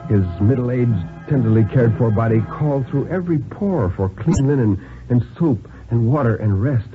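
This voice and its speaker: A man giving a dramatic, deliberate reading of a thriller story in the style of 1950s radio. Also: mid-range voice, soft background music. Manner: deliberate, dramatic, expressive phrasing. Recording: narrow-band vintage 1950s radio recording.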